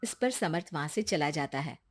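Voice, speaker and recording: A woman's voice: deep voice, animated, moderate pace, husky. A woman narrating a scripted family story as its storyteller.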